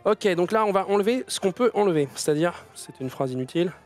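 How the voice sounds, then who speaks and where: A man in his thirties hosting a cooking show, explaining steps in an upbeat, quick way in a studio kitchen. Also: sits high, expressive, darkish tone, soft background music. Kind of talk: cooking demonstration chat.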